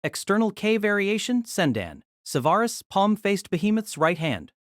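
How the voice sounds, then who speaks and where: A man's voice: high-pitched, sing-song, moderate pace, no room sound, clean studio sound. A man narrating a fantasy novel as a dramatic, scripted audio drama.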